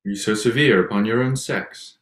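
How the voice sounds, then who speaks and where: A man playing a proud, reserved young gentleman in a period drama reading, formal, measured and aloof. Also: lowish voice, lightly acted. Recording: echoey room.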